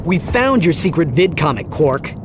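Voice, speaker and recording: Young game hero, brash, wry, impatient, fairly high lively voice, noisy background. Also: male voice, moderate pace. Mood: sarcastic, disgusted. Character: brash, sarcastic young male space hero. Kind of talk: animated video game cutscene acting.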